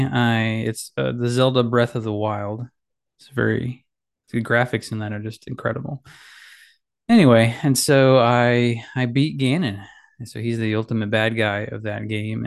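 Rambling man with a gravelly, slightly nasal voice. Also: medium pitch. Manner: rambling, self-deprecating, many fillers and restarts. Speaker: podcast host. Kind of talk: casual solo podcast chat. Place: small home office. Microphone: boom mic with headphones.

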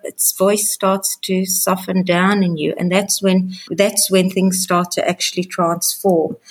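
A woman from Africa, a guest coach talking earnestly and reflectively in an interview, repeating phrases as she thinks. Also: medium pitch. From South Africa. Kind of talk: conversational interview.

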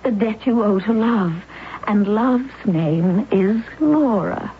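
Anguished, self-sacrificing wife, old radio drama. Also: female voice, medium pitch, slight background noise. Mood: pained resignation. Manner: earnest, deliberate, dramatic phrasing. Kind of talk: old-time radio drama acting and first-person narration. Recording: muffled old radio recording, music behind.

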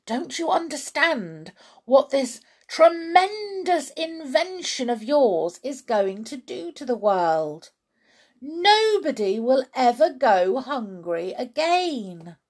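A woman voicing an excitable, overbearing father in a children's story, exclaiming with bossy, impatient enthusiasm. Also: sits high, takes it slowly, voice pushed up, exaggerated voice. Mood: exuberant. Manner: exclamatory, bossy, breathlessly eager.

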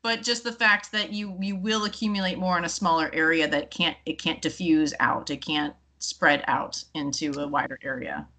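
A woman co-hosts a science podcast in a lowish voice with a bright, forward edge. She talks casually and chattily, with laughs slipped in between her points. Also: fairly even intonation, moderate pace, hardly any pauses. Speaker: podcast co-host.

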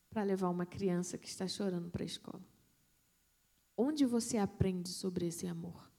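A woman missionary, telling a testimony in a sermon, with dramatic pauses and hushed emphasis. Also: mid-range voice. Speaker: missionary preacher.

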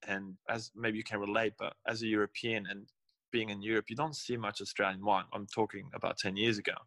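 Young man, lowish voice, loose rambling talk, dry close recording. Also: little pitch movement, brisk, sharp tone, dry recording. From Belgium. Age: young adult. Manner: rambling, restarts and self-corrections. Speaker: guest winemaker. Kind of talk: casual interview.

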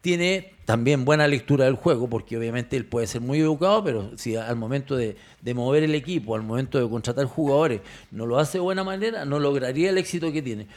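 A man's voice: medium pitch, a little fast, few pauses, a little mellow. A man on a sports panel giving opinions in an emphatic way, often repeating his words. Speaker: sports panelist, former player. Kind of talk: sports talk commentary.

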